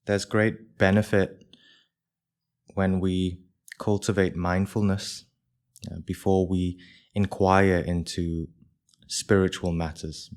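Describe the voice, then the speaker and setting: A man leading a live guided meditation for a group as its teacher, speaking in measured short phrases with pauses between them. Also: low voice, level tone, darkish tone.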